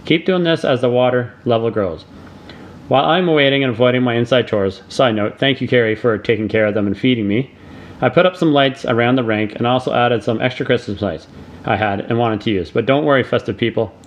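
A middle-aged man, reading a scripted voice-over tutorial in steady step-by-step instructions. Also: mid-range voice, dull audio. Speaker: DIY channel host.

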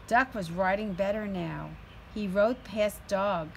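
A woman's voice: mid-range voice, slow, gravelly. A middle-aged woman reading a picture book aloud to children in a storytelling style, recorded outdoors on a patio. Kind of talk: slow read-aloud storytelling. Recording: some background noise, outdoor ambience.

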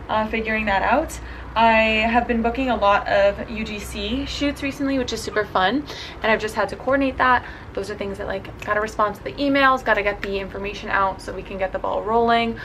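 A woman's voice: fairly high pitch, a bit bright, a little hiss or hum, low-quality recording. A young woman in her twenties chatting bubbly and casually to a handheld camera, talking through her travel plans as a vlogger. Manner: bubbly, chatty, quick asides. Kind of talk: casual vlog chat to camera. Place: car, then hotel room. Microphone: handheld camera at arm's length.

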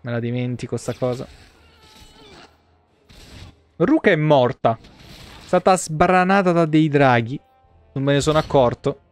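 A man's voice: on the high side, moderate pace, clean tone, soft background music. A man in his thirties with an Italian accent doing casual, colloquial live gameplay commentary, swearing now and then. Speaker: video game streamer. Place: small indoor room.

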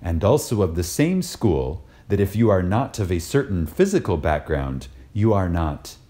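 A man reading a spiritual lecture aloud in a reverent, dramatic style, deliberate and emphatic, with pauses for effect. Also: sits low, very animated, mellow.

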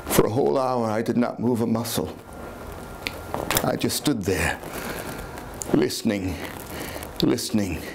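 An older man playing an unhinged murderer who confesses with sly pride, in a halting, suspenseful theatrical reading of gothic horror. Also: lowish voice, animated, creaky, background noise, pitched below the performer's own voice. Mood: tense, brooding. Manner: halting, repetitive, suspenseful, with sudden swells and chuckles. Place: small dim room, live before an audience.

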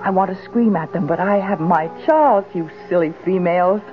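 A woman's voice: lowish voice, fairly quick, music in the background, lightly acted. Woman acting a genteel, insistent wife, tinny radio. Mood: adoring. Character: doting, imperious wife, yearning for her husband. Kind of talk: old radio drama acting. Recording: tinny old radio drama recording.

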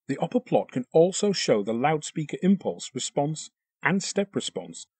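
A man reading scripted instructional narration for a software tutorial in a precise, measured way. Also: medium pitch, husky, no room sound.

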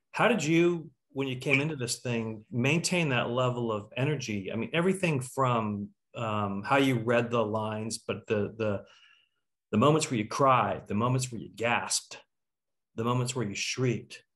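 A middle-aged man hosting a talkback on a video call, haltingly repeating words as he searches for the next. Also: medium pitch, a bit bright. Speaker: talkback host. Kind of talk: discussion and questions on video call. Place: room at home.

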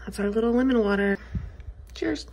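A woman's voice: on the high side, moderate pace, gravelly, a little mellow, low-quality recording. A woman chatting casually to her phone camera in a travel vlog, playful and crude, with frequent jokes and laughter. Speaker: travel vlogger.

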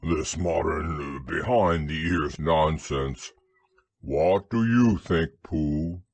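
A gloomy old-school storybook donkey, as a man acts him out, grumbles along very slowly in a low, husky voice. He sounds disapproving and drags out his words, recorded close and dry. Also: no echo, exaggerated voice. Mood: glum, grumbling. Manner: grumbling, drawn-out delivery.

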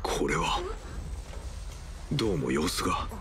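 An actor playing a composed, courteous butler who fights, speaking formally and politely in an anime game. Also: male voice, rumbling low, lively intonation, slow, vocal fry, very noisy, exaggerated voice. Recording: music behind the voice.

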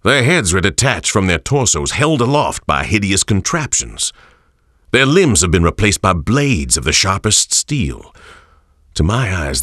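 A scholarly, ominous chronicler narrates a horror game journal. His voice is extremely deep and formal, with wide, deliberate swings of pitch, recorded very dry. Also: fairly bright tone, no room sound, full character voice. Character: grave, scholarly male chronicler of monsters.

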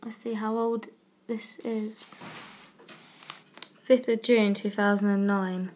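A woman's voice: mid-range voice, little pitch movement, takes it slowly, darkish tone. Young woman, nervous whispery asides, phone mic, faint hiss. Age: young adult. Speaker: urban explorer vlogger. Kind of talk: casual live-stream chat. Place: abandoned house indoors. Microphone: phone held at arm's length.

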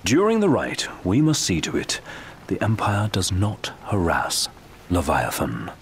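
Composed male strategist, expressive intonation. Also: sits low, measured pace, a little hiss or hum, exaggerated voice. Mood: composed, instructive. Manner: formal, precise. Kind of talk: video game dialogue.

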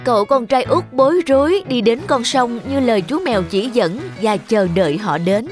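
A woman's voice: on the high side, animated, normal speed, darkish tone. A woman narrator reading a scripted fairy tale for children. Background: music.

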